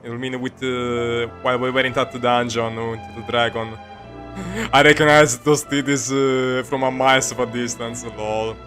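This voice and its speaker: A man streaming a game with casual, rambling commentary. His voice is bright and mid-pitched, and he jokes and repeats himself. Faint game music runs underneath. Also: little pitch movement, moderate pace.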